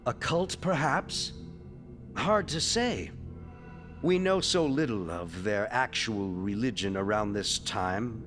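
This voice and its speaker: A man playing a learned scholar of ancient scripts in an audio drama, speaking in a measured, lecturing way. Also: on the low side, expressive, fairly bright tone, soft background music. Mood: pensive.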